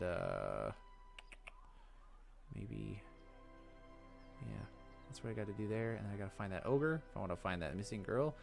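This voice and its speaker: A man's voice: deep voice, gravelly. A man hosting a let's play, chatting casually and thinking aloud as he goes. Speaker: gaming video host.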